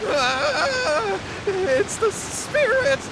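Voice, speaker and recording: A man's voice: very high-pitched, big pitch swings, extremely slow, noisy background, heavily acted. An actor playing a timid, anxious young man in a video game, nervous and apologetic. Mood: terrified.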